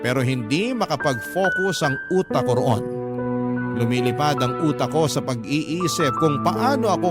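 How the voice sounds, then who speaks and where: A man narrating a Filipino radio drama as a scripted, first-person dramatic story. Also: on the high side. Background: prominent background music.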